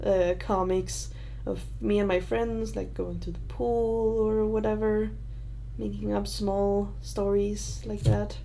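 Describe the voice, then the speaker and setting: A woman's voice: medium pitch, flat delivery, slow pace, creaky, dark tone, slight background noise, rough recording. A young Danish woman with a Danish accent hosting a casual livestream Q&A, talking in a hesitant, rambling way with many fillers. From Denmark. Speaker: livestream host answering questions.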